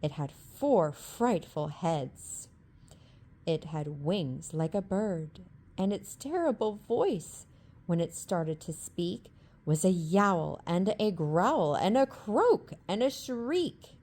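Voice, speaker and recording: A woman reading a rhyming bedtime story aloud in a calm, rhythmic ASMR style. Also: lowish voice, takes it slowly.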